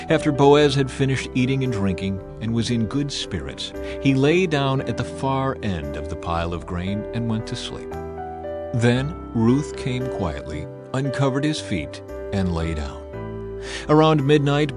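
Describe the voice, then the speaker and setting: A man narrating a dramatized Bible story from a script, as an audiobook narrator. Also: medium pitch. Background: background music.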